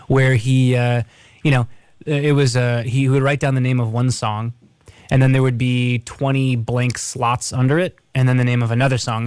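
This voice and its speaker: A man co-hosting a radio show in a conversational, measured way, with hesitations and repeated words. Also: medium pitch, a little mellow, clear, resonant tone, no echo.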